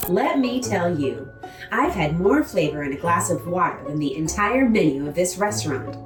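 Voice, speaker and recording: A woman's voice: fairly low pitch, lively intonation, moderate pace. A woman giving a sardonic, theatrical reading of a scathing review. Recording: roomy, distant mic, music behind. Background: music.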